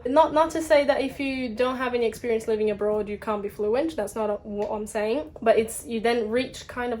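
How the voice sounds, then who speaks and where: A woman's voice: fairly high pitch, a little mellow. A young Brazilian woman, Portuguese her first language, with a British-tinged accent, chatting casually as an English tutor and filling her talk with "like" and restarts. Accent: Brazilian with British influence. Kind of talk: casual talking-head vlog.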